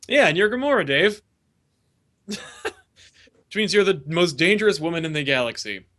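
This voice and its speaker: A man's voice: high-pitched, expressive, unhurried, pushed and bright. A man hosting a comedy podcast, jokey and laughing often, with many filler sounds. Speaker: podcast host. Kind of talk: casual podcast chat.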